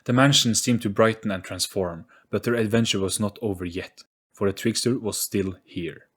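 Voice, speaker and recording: A man's voice: lowish voice, normal speed, husky. A man narrating a story as an audio play in a dramatic, scripted storybook style. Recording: faint music behind narration. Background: soft background music.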